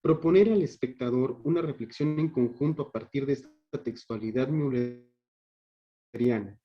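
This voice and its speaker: A man's voice: medium pitch, measured pace. A man with a northern Mexican accent reading an academic paper aloud in a formal, literary manner, as a conference speaker. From Monterrey, Mexico. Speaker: conference speaker, theatre director. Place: video call. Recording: dull online call audio.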